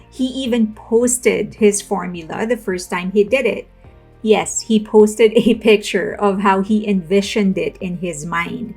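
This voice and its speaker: A young woman hosting a commentary channel, speaking casually to camera and then reading a scripted voice-over analysis in an even, explanatory way. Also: medium pitch, creaky, breathy edge. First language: not Korean. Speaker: video essay host. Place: home room with plush toys.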